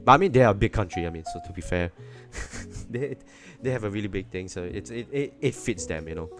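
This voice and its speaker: Deep-voiced Singaporean man chatting casually. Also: animated, brisk, fairly bright tone, faint music behind. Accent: Singaporean English. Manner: rambling, repeats words, hedges with "you know". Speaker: podcast guest. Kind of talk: casual podcast chat.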